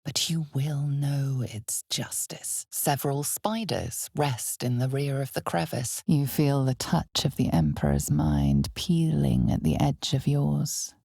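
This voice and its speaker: Casting: a knowing, ominous female narrator for a dark fantasy video game. Deep for a woman, gravelly, measured storyteller delivery. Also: no echo, studio quality, full character voice.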